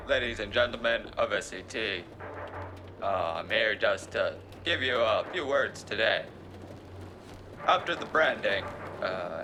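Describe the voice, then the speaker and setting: Man playing a halting, pompous politician, animated intonation. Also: mid-range voice, noisy background. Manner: halting, pompous, awkwardly oratorical. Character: bumbling, evasive male city politician giving a stump speech. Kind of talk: political speech in an audio drama.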